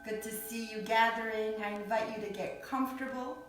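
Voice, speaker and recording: A woman guiding a yoga nidra meditation in a soothing, unhurried way, with long pauses between short phrases. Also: fairly high pitch, steady tone. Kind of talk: guided meditation. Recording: echoey, distant mic with background music.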